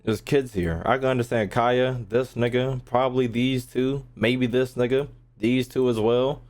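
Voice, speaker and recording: A man's voice: mid-range voice, fairly even intonation, unhurried, darkish tone. A man livestreaming a game, chatting casually to his viewers in slangy, profane, joking talk. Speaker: game streamer.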